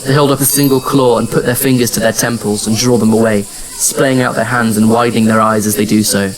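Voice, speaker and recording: A man's voice: on the low side, steady tone, brisk, close to an everyday voice, poor audio. An actor playing a wry, patient adventurer who narrates his own story in an audio drama. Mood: wry narration. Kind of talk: scripted first-person audio drama narration and dialogue. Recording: music under the voice. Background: music.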